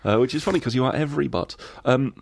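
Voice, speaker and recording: A man's voice: mid-range voice, quick pace, gravelly, no room sound. A man in his late thirties co-hosting a casual podcast, bunged up from a cold, playful and rambling. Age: late 30s. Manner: playful, rambling, drifts into silly bits. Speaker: podcast co-host. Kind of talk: casual chat.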